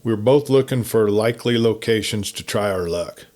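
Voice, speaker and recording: A man narrating a written campfire story in a folksy, conversational, unhurried manner. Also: lowish voice, darkish tone. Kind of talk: folksy scripted story reading.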